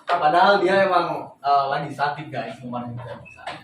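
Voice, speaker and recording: High-pitched, animated young man in echo. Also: normal speed. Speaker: talk show co-host. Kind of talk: casual banter. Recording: distant roomy mic, very echoey, noisy ambience.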